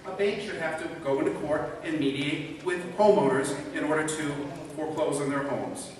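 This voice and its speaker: A man's voice: fairly high pitch, moderate pace, far from the mic, crowd noise. Man testifying in an echoey council chamber. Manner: earnest, persuasive. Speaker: public commenter at city council. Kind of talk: prepared public testimony. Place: large council chamber indoors.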